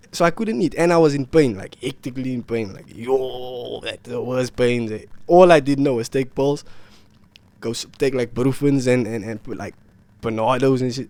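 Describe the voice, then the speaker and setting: A man's voice: mid-range voice, a little mellow, no echo. A sick young man, telling a rambling, slang-filled story about his illness to his vlog viewers. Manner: slangy, rambling, repeats himself. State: ill and worn out. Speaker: vlogger. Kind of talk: casual storytelling to camera.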